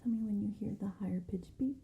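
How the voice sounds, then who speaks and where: A woman's voice: medium pitch, fast talker, phone-quality sound, a little hiss or hum. Whispering woman in an ASMR ear exam. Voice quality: breathy, unvoiced whisper. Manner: soothing, gentle, reassuring. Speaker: ASMR performer role-playing an ear examiner. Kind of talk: whispered ASMR medical role-play.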